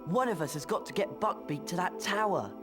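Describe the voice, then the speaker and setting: A man's voice: sits high, animated, fairly quick. A young man playing an earnest, brave teenage boy wizard with urgency in a video game. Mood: earnest, tense. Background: loud music.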